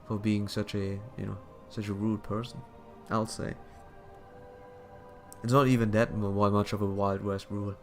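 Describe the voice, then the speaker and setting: A man giving casual commentary as a streamer, halting and repeating his phrases as he thinks aloud. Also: lowish voice, flat delivery, soft background music. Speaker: streamer reacting to another stream.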